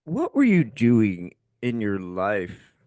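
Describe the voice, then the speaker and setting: Guy hosting a podcast, lowish voice, bouncy intonation, firing off follow-up questions. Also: wildly swooping pitch, slow, a little mellow, dry recording. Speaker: podcast host. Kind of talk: interview questions.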